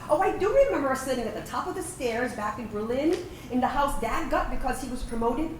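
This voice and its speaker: Woman playing a wistful veteran, high and animated. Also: a little fast. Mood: wistful reminiscence. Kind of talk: staged reading of a personal narrative play. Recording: roomy, distant microphone, faint background noise.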